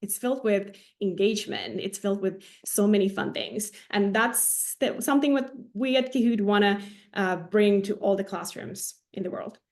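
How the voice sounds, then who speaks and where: A woman from Finland in her thirties, a native Finnish speaker, talking as a podcast guest in an enthusiastic, warm way with some restarts. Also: mid-range voice, normal speed, few pauses. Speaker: podcast guest, learning designer. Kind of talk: casual interview talk.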